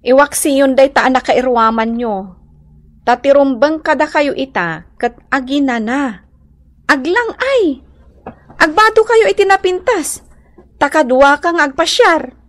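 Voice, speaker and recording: A woman's voice: high voice, lively intonation, a little slow. A woman with an Ilocano accent playing a bossy, exasperated grown daughter in a radio drama, speaking emphatically. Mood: coaxing.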